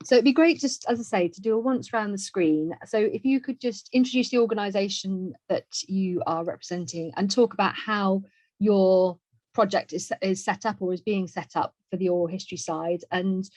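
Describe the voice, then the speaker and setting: A woman's voice: lowish voice, darkish tone. A woman hosting an online webinar, talking through informal housekeeping in a chatty, organised way over a video call. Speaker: webinar host. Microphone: video call microphone. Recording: roomy, dull video-call audio.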